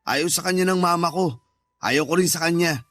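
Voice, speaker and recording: A man's voice: fairly high pitch, moderate pace, fairly bright tone, natural voice. An actor playing a callous, irritable stepfather in a radio drama, dismissive and argumentative. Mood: dismissive, cold. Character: callous, irritable husband and stepfather, resentful of the stepchild. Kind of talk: Tagalog radio drama acting. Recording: music behind the voice.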